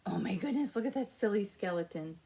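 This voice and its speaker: A woman's voice: mid-range voice, animated, brisk, mellow, slightly rough. A woman presenting story time for young children, reading aloud in an exaggerated sing-song way and asking the children questions.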